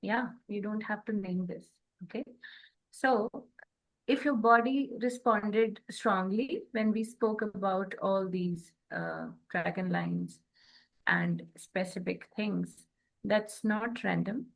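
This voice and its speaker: Slow, deliberate woman guiding listeners. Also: mid-range voice, gravelly, low-quality recording. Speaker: co-host of a spiritual wellness show. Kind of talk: instructional spiritual talk to an audience.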